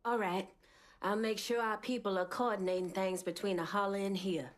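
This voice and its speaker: An actress playing a tough, commanding female gang boss in a video game, speaking bluntly and forcefully. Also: mid-range voice, fairly even intonation, normal speed, close to an everyday voice. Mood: businesslike. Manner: blunt, forceful, foul-mouthed. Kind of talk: video game cutscene acting.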